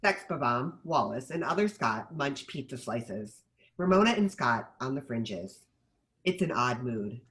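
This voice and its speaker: A narrator reading stage directions in an animated way for a screenplay table read. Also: mid-range voice, a little slow.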